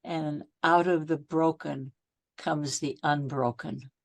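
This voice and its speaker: A woman's voice: low voice, steady tone, slow, vocal fry, dry recording. A woman from the audience joining a video call, earnest and reflective, recalling a quote. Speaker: audience member. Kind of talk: casual conversation.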